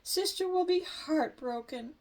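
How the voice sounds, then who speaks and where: Older woman playing a fussing mother bear, fairly high voice. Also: measured pace, darkish tone, distant mic. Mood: worried. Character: fussy fretting mother bear.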